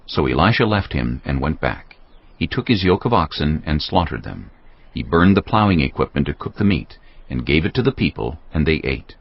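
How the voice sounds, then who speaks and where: A man's voice: rumbling low, big pitch swings, moderate pace, husky. A man narrating a dramatised Bible story as a scripted reading. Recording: dull lo-fi audio with music. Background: background music.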